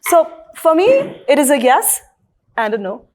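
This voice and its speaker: A woman whose first language is Punjabi, speaking as a school leader on a panel, calmly recounting what parents told her. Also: high voice, a little slow, soft background music. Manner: reflective, relays parents' views. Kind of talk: panel discussion.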